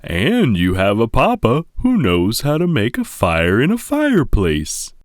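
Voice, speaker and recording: A man's voice: mid-range voice, huge pitch swings, unhurried, no echo, clean studio sound, pitched above the performer's own voice. A man voicing a reassuring father bear who explains things patiently and emphatically in a children's story. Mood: reassuring, confident.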